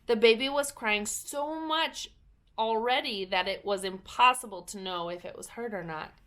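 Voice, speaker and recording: A woman's voice: on the high side, moderate pace, fairly bright tone. A woman narrating a children's storybook as a story reader.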